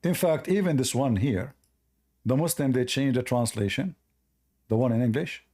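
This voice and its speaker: A man whose first language is Arabic, hosting a live call-in debate and arguing forcefully while reading from sources. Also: mid-range voice, normal speed. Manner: forceful, argumentative, repeats himself. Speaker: host of a call-in debate stream.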